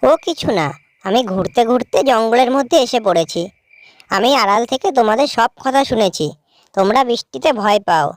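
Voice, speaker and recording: A woman's voice: fairly high pitch, animated, a little fast, no echo, lightly acted. A woman voicing a brave, helpful young village girl in a cartoon story, speaking in an earnest, simple way. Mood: calm, helpful.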